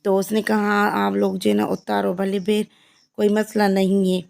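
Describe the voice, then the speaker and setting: A woman with a Pakistani accent and a hoarse, heavy voice, narrating her village vlog in a chatty, repetitive way. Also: medium pitch, flat delivery, moderate pace, a little mellow. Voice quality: heavy, croaky voice. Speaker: family vlogger. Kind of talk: casual vlog voice-over.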